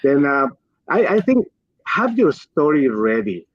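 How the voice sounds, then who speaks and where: A man's voice: a little high, very animated, measured pace, dry recording. A middle-aged man from Colombia, a native Spanish speaker with a Colombian accent, giving conversational career advice in an earnest, emphatic way as a guest. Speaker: guest, physician and executive. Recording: dull, lo-fi livestream audio.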